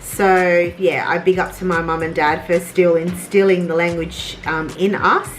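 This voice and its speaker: A young Australian woman with a slightly low voice talks easily and chattily, full of little fillers. It sounds like a home vlog recorded on a camera mic. Faint music hums in the background. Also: a little slow, poor audio. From Argentina, raised in Australia. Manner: chatty, relaxed, many fillers and laughs. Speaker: vlog co-host. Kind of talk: casual chat. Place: home bedroom.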